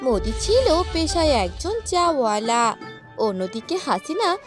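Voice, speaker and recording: A woman's voice: high voice, sing-song, a little slow, soft background music. A woman giving scripted narration for a cartoon story.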